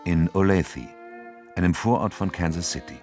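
A man narrating a radio crime drama from a script in a measured, sober, factual way. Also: sits low, darkish tone. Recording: faint music behind.

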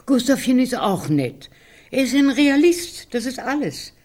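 A woman's voice: sits high, sing-song, a little slow, darkish tone, exaggerated voice. An actress playing a practical, impatient older woman in a literary radio drama, curt and matter-of-fact. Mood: pragmatic.

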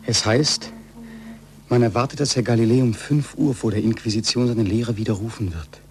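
Male actor, anxious follower, grave, measured, old radio play, faint background noise. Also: medium pitch, fairly even intonation, darkish tone, close to an everyday voice. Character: anxious, grave follower awaiting bad news. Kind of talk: 1940s German radio play acting.

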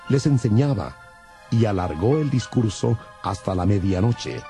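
A man's voice: lowish voice, warm tone. A man narrating scripture from a script for a dramatized audio Bible.

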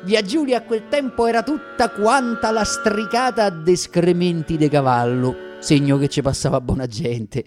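An actor with a Roman accent playing a variety comedian who tells his life story in a sardonic, dramatic monologue with deliberate pauses. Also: high-pitched, music in the background, close to an everyday voice. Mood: wry, boisterous. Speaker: stage actor. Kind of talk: theatrical radio monologue.

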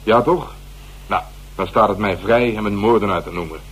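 Dry police inspector, rough husky voice, blunt and sardonic, radio drama. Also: male voice, fairly low pitch, moderate pace, a bit bright, rough recording. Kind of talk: radio play acting.

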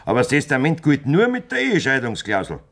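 A man's voice: medium pitch, fast talker. An actor with a Bavarian accent playing a scheming, blunt aging farmer who talks down to his wife in a radio play. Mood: cold-blooded. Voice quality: blunt, self-assured and lively in tone.

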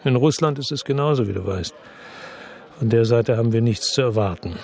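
An actor playing a world-weary, bitter crime investigator who narrates and talks tersely in a radio drama, with a gravelly, husky, deadpan voice. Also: male voice, mid-range voice, fairly quick, close to an everyday voice. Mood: grim, weary. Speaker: first-person narrator and lead character in a radio drama.

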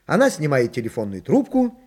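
Author-narrator, raspy husky voice, highish pitch, hugely expressive, confiding radio storytelling. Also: male voice, brisk. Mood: brisk, suspenseful.

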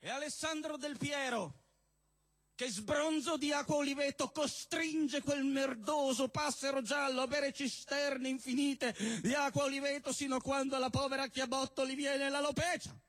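A man with a strikingly high-pitched voice performs satirical poetry live. He declaims every phrase with theatrical emphasis. He stretches key words and is prone to raising his voice into shouts. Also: fairly even intonation, moderate pace. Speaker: spoken word poet and performer. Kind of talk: theatrical spoken-word performance of satirical poems. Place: live stage at a cultural venue event.

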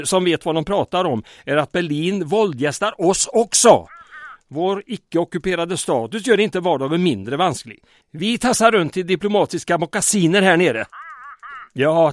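A man's voice: a little high, no room sound, lightly acted. A man playing a harried, sardonic small-town mayor under wartime occupation in a comic radio drama. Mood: diplomatic, irritated. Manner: wry, stammers when flustered.

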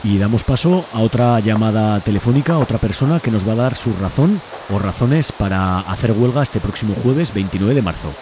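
A man's voice: on the low side, expressive, fairly quick, over music. A man with an accent from Spain hosting activist radio, speaking in an impassioned way with rhetorical lists. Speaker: radio host. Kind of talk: activist radio talk with phone-in.

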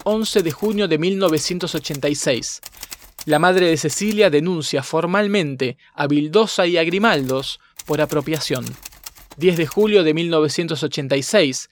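A man's voice: on the high side, normal speed, slight background noise. A man reading scripted documentary narration in a formal, factual manner. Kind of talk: scripted narration of dated events.

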